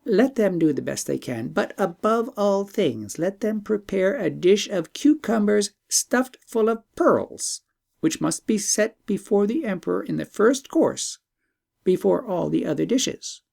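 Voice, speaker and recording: A man voicing a calm, assured magical talking bird that gives measured advice in a storybook reading. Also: high-pitched, creaky, voice pushed up, full character voice. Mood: calm advising. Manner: slow, measured, instructive delivery. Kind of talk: audiobook storytelling.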